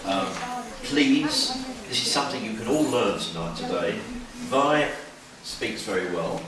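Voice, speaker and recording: A man's voice: mid-range voice, expressive, a little slow. A man in his seventies giving a talk to schoolchildren with a strong, projecting voice. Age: 70s. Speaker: guest speaker at a school. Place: school hall. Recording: echoey hall from far off, children's chatter.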